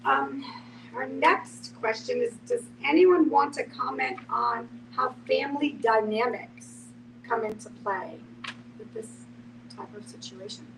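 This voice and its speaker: A woman's voice: a little high, a little slow. A woman moderating a group discussion in a conversational, explanatory way. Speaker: moderator, nonprofit co-founder. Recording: distant mic with clear background noise.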